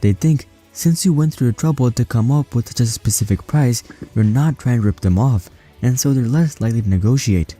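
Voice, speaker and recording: A man narrating a scripted explainer in an even, read-out way. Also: medium pitch, a little fast, soft background music.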